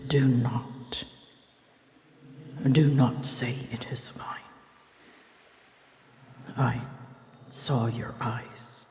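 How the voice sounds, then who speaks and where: Girl doing a prickly hurt dragon lady, asmr roleplay, real slow n halting, drops to whispers, big echoey reverb. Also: very deep voice, fairly even intonation, heavily acted. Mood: regretful, tender. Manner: halting, hushed, slipping into whispers. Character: prickly, wounded female dragon confiding in her companion. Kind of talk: intimate ASMR roleplay monologue. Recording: roomy long reverb, faint ambient noise.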